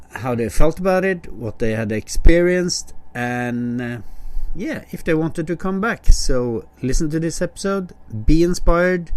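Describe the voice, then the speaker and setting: A man hosting a podcast, introducing the episode and interviewing guests. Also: mid-range voice. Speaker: podcast host.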